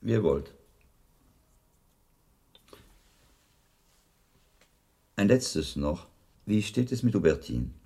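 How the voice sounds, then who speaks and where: A calm, probing learned monk, with a very low, dark voice. He questions formally and deliberately in radio drama style, with animated inflection. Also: slightly rough, heavily acted. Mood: calm, curious. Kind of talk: 1980s German radio drama acting.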